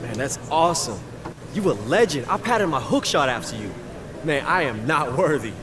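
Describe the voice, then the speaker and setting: A man's voice: fairly high pitch, sing-song, moderate pace, a crowd in the background. A man playing a confident, easygoing young rookie basketball player with casual, slangy speech in a video game cutscene. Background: music.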